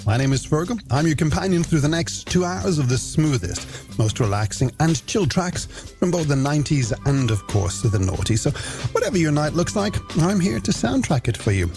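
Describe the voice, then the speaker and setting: Lively Irish male late-night radio host. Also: on the high side, normal speed. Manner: chatty, companionable patter addressed to listeners. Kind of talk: late-night music radio presenting, scripted links. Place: radio studio. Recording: music bed behind the voice. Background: music.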